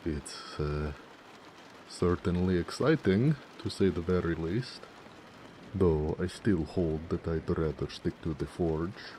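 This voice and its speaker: Casting: male actor as a tender, shy orc blacksmith for romance audio, very low and dark-toned, hesitant, homely. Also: a little hiss or hum. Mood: earnest, tender. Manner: soft-hearted, hesitant, with pauses and hedging. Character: gentle, bashful, affectionate male orc blacksmith, homebody. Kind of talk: intimate romantic audio roleplay acting.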